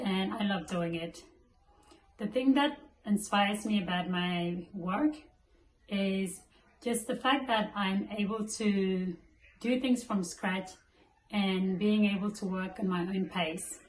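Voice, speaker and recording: A woman from South Sudan, a small business owner, introducing herself and her work in a soft-spoken way with many pauses. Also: mid-range voice. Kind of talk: self-introduction to camera. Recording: echoey room with faint noise.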